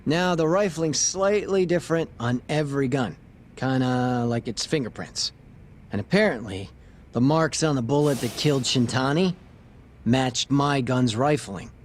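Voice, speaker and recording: A man's voice: mid-range voice, a little slow, slight background noise, natural voice. An actor playing a shady, sarcastic male police detective with a streetwise manner in an English video game dub. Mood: matter-of-fact, irritated. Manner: sarcastic, streetwise, foul-mouthed.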